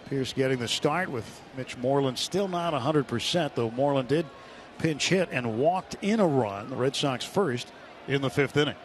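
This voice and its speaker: Male baseball announcer over clear ballpark noise. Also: medium pitch, lively intonation, normal speed. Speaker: radio baseball play-by-play announcer. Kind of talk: live sports commentary. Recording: clear stadium noise behind live broadcast. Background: outdoor ballpark ambience.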